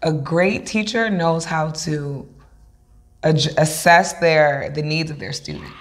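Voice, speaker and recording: A woman's voice: sits low, animated, slow. Chatty young woman dance instructor. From United States, raised by Ghanaian parents. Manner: upbeat, chatty, storytelling with filler words. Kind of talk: casual interview.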